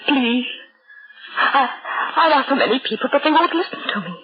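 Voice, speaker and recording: Desperate woman physics teacher, pleading and insistent, highly animated intonation, old radio horror play, muffled hissy recording. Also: moderate pace. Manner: pleading, insistent, repeating herself. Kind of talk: old-time radio horror drama acting.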